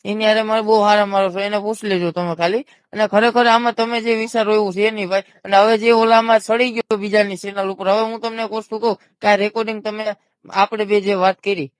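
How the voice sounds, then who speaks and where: A man's voice: high voice, level tone, brisk, smooth, no room sound. A man in a heated phone conversation, explaining himself insistently and repeating his points. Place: recorded phone call.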